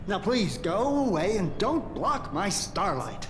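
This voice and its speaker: An actor playing a crazed, scornful scholar in a video game, with a dismissive, theatrical delivery. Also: male voice, sits high, big pitch swings, takes it slowly, over music, heavily acted. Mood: scornful, musing. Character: crazed male scholar, scornful, stargazing eccentric. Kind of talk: dramatic game voice acting. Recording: distant, echoey reverb with noise.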